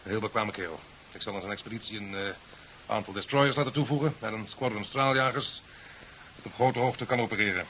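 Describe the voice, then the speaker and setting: A man's voice: lowish voice, a little fast, bright, forceful tone. A man playing a sceptical, career-minded president in a 1970s science fiction radio play, formal and dry. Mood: businesslike, official. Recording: dull 1970s radio recording with hiss.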